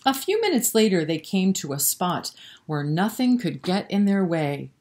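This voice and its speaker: A woman reading a picture book aloud to children in an expressive way, pausing to explain and prompt her young listeners. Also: lowish voice. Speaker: children's storyteller at a library.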